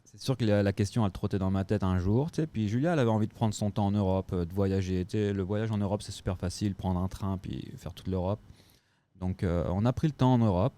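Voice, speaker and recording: A French man with a light Québécois touch, telling his story in a casual chat as a podcast guest, with lots of pauses and filler sounds. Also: on the low side. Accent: French with a picked-up Québécois touch. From France. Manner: relaxed, lots of filler hesitations. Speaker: guest, co-owner of a restaurant.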